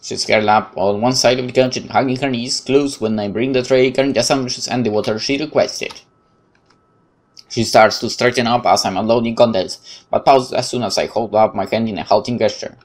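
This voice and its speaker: A man with a Polish accent reading visual novel narration aloud as a game streamer, expressive but now and then stumbling. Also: mid-range voice, brisk.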